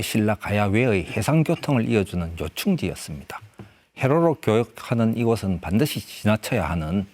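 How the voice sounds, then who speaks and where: A middle-aged archaeologist on a studio panel, delivering prepared remarks in a steady, formal way. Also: male voice, fairly low pitch.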